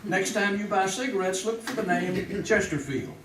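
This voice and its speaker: An American man giving an emphatic, polished advertising pitch as a 1950s radio commercial announcer. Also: moderate pace, recorded from a distance. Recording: echoey, faintly noisy old radio recording.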